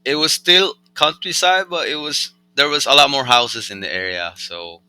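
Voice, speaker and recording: A young man with a Norwegian accent, a rapper being interviewed, chatting in a relaxed, rambling way with lots of fillers. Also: mid-range voice, normal speed, smooth, no room sound. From Norway. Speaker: rapper guest. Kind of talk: casual conversational interview.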